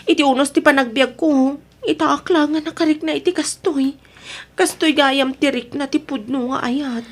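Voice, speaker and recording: A woman's voice: high voice, steady tone, moderate pace, close to an everyday voice. A woman with an Ilocano accent acting a stubborn, pleading married woman in love with another man in a radio drama. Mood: defensive.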